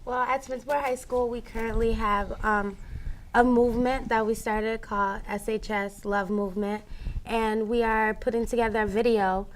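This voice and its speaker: A teenage girl, a student guest, explaining her school's project in a steady delivery with a few likes. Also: a little high, moderate pace, a little hiss or hum. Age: teens. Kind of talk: casual chat.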